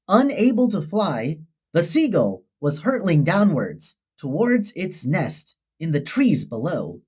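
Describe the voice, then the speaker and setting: Very animated woman reading a children's story. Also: lowish voice, slow, slightly rough. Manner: dramatic, expressive storytelling. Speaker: storybook narrator. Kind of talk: scripted children's story read-aloud.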